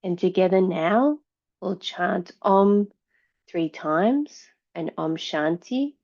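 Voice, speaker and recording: Woman teaching yoga, really slow n soothing, lowish voice, sound is kinda dull and muffled. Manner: calm, measured, soothing. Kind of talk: guided yoga and meditation instruction.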